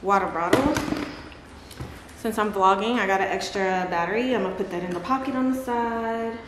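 A woman's voice: mid-range voice, moderate pace. Chatty woman vlogging in echoey room. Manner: chatty, laughs often, talks to her dogs. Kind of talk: casual vlog chat to camera. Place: large bright living room at home. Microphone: camera on a table close by. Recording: echoey room with clear background noise.

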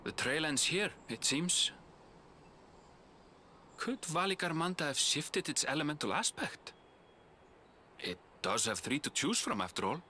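An actor playing a thoughtful, learned traveling scout in a video game, explaining things in a measured way. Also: male voice, on the high side, big pitch swings, sharp tone, a little hiss or hum, exaggerated voice. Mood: pensive. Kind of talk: video game cutscene acting.

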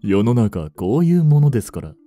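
A man playing a suave, greedy young butler in a romance audio drama, polite and softly menacing in an unhurried way. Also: mid-range voice, big pitch swings, soft background music, natural voice. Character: suave, greedy young male butler turned possessive betrayer.

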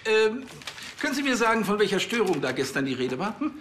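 An actor playing an irritable, fussy police chief in a comedy series, snappy and easily exasperated. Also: male voice, high voice, big pitch swings, brisk, a bit bright, a little hiss or hum. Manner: hesitant fillers, snappy questioning.

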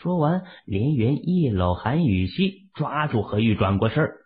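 A man's voice: mid-range voice, big pitch swings, moderate pace, slightly rough. A man narrating a novel from a script in a dramatic storytelling style, with narrow, muffled sound. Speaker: audiobook narrator.

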